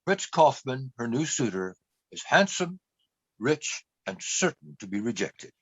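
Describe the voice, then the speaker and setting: A man's voice: medium pitch, big pitch swings, a little slow, gravelly, a bit bright, slightly rough, dry recording, lo-fi sound, full character voice. A man acting as the narrator of a radio play, telling a life story in a wry way. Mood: wry storytelling. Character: wry male narrator looking back on a woman's life.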